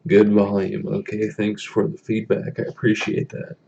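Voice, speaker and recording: A young man with a deep voice chatting casually on a live stream from a small room, halting with many ums as he reads the chat. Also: completely flat delivery, creaky, dark tone, dull audio, slight background noise. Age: young adult.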